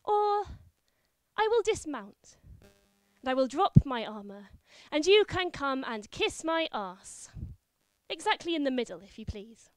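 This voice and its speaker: Woman doing a stern knight, menacing, commanding, high voice, dry. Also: sing-song, unhurried, dry recording. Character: fierce wife disguised as a stern knight.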